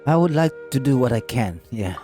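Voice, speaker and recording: A man streaming a video game who chats casually and mutters to himself while he plays. His voice sits at a middle pitch, with faint game music in the background. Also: lively intonation, brisk, warm tone. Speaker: gameplay streamer. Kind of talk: casual live commentary while playing.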